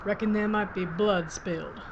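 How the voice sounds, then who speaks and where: An actress playing a blunt, folksy Old West sheriff with an in an audio drama. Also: female voice, mid-range voice, fairly even intonation, normal speed, close to an everyday voice. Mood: steely. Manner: plain-spoken, hard, folksy. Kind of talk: Western audio drama acting. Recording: muffled lo-fi with clear noise.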